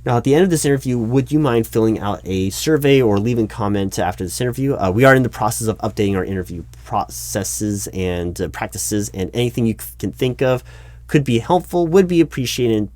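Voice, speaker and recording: A man playing an earnest, slightly flustered recruiting manager in an interview roleplay, speaking in wordy, halting corporate jargon. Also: medium pitch, animated, darkish tone, dry recording, close to an everyday voice. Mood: businesslike, earnest. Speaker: roleplay performer. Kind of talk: one-sided interview roleplay, soft-edged office talk.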